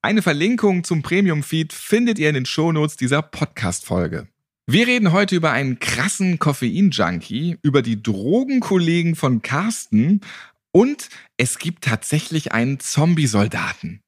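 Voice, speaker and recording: A man's voice: on the high side, big pitch swings, normal speed, breathy edge, dry recording, clean studio sound. A man hosting a podcast in a casual, joking and colloquial way. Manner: flippant, colloquial. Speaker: podcast host.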